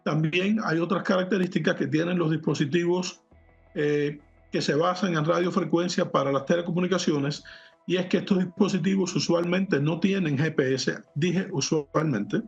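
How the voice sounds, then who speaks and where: A man with a fairly high, gravelly voice explains technical matters as an interview guest. He is measured and precise. The sound is muffled and lo-fi, with music underneath. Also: fairly even intonation. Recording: muffled lo-fi audio, music behind.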